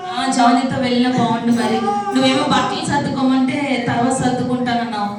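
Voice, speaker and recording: A woman's voice: sits high, steady tone, fast talker, over music. A woman hosting a church puppet show, telling stories to children in a teacherly way with questions and repetition. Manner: repeats words, teacherly questioning. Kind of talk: animated storytelling for children. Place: echoey church hall, far from mic. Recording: distant, echoey, noisy hall recording.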